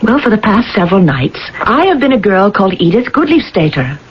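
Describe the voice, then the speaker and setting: A woman's voice: medium pitch, big pitch swings, fairly quick, husky. An actress playing a troubled woman in her thirties who confides hesitantly and earnestly, in 1980s radio drama style. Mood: hesitant, confiding. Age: 30s. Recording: dull, hissy old radio broadcast recording.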